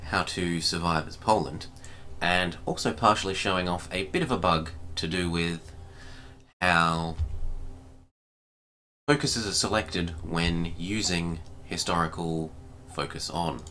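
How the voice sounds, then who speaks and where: A man narrating a scripted gaming tutorial in a formal, measured, precise manner. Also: sits low, pushed and bright, a little hiss or hum. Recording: music behind the voice. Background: music.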